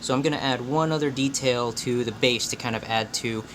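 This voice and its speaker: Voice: mid-range voice, fairly quick, fairly bright tone, a little hiss or hum. A person giving casual, self-deprecating hobby commentary in an informal way, talking through each step of the work. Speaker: craft video creator. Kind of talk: casual hobby vlog commentary while painting. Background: music.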